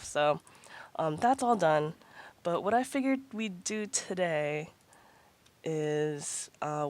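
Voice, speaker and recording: A woman with a deep, low-set voice talks through her game in a loose, rambling way, filling gaps with ums and restarting sentences. She sounds stuffy and congested, still getting over a cold. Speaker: gaming channel host. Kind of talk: casual gameplay commentary.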